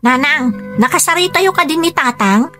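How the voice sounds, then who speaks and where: High-voiced Ilocano woman in radio drama. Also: lively intonation, moderate pace, loud music behind the voice. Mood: earnest, anxious.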